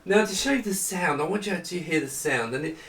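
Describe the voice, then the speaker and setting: A man's voice: fairly high pitch, expressive, quick pace, fairly bright tone, a little hiss or hum. A man giving an unscripted, casual product demo, hesitant and thinking aloud as he works, recorded on a microphone set away from him. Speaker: presenter demonstrating a monitor product. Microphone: camera-side microphone set away from him. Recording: roomy, distant microphone with music. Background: music from demonstrated speakers.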